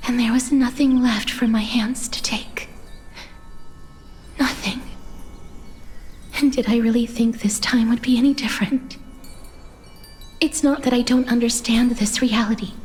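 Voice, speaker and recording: A gentle, melancholy young woman speaks very slowly in a soft, breathy, slightly high voice. It is a solemn game cutscene monologue, set in long reverb with music underneath. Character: gentle sorrowful young woman cursed with a deadly touch.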